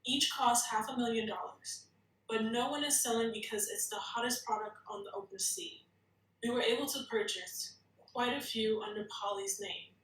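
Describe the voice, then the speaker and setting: A woman's voice: a little high, moderate pace, a bit bright, recorded from a distance, natural voice. A woman playing blunt, businesslike characters in a screenplay table read. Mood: businesslike briefing. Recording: echoey room, off the mic.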